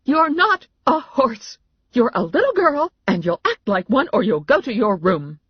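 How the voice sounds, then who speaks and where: A strident, exasperated mother, voiced by a woman narrator, middle-aged and quick to fuss. The voice climbs high with wide swoops in a comic audiobook story. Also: big pitch swings, breathy edge, no room sound, lo-fi sound, heavily acted. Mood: angry scolding. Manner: strident, scolding.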